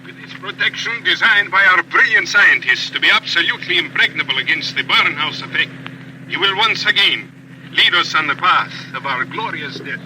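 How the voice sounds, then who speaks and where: Over a faintly noisy old radio signal comes a fanatical propaganda announcer. His voice is high for a man and extremely sharp, delivering bombastic, declamatory oratory in the manner of vintage radio drama. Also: animated, normal speed. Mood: fanatical zeal. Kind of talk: old-time radio drama acting as a broadcast. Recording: noisy old shortwave-style radio broadcast.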